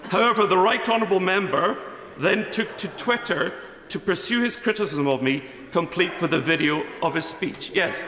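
A man's voice: high-pitched, animated, normal speed, crowd noise. A middle-aged man, a member of parliament, giving a formal, defensive speech from his notes in a debating chamber. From Scotland. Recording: echoey chamber with long reverb.

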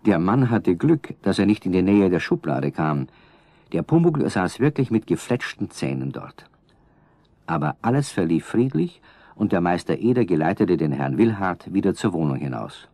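A man's voice: low-pitched, big pitch swings, moderate pace, mellow, dry recording, exaggerated voice. A man as the storyteller, giving scripted narration in a children's radio play. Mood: measured storytelling.

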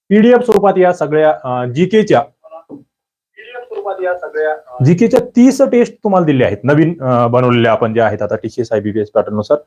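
A man giving a quiz-style exam-prep lecture, firing questions and demanding quick answers from his students. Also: darkish tone. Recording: muffled low-fidelity recording.

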